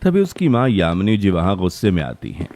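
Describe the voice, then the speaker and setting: Guy narrating a cartoon story, lowish voice, very expressive, bit of noise. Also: a little fast, creaky. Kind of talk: scripted Hindi story narration.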